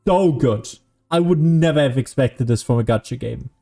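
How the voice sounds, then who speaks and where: A man's voice: medium pitch, normal speed, vocal fry, mellow. A young man giving casual gameplay commentary as a streamer, musing and arguing aloud and swearing casually. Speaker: game streamer. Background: game music.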